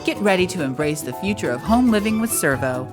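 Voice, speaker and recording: An actress playing an upbeat infomercial pitchwoman, delivering an exclamatory, salesy scripted ad read. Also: lively intonation, fairly quick, close to an everyday voice. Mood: gushing, upbeat. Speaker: commercial announcer. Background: loud music.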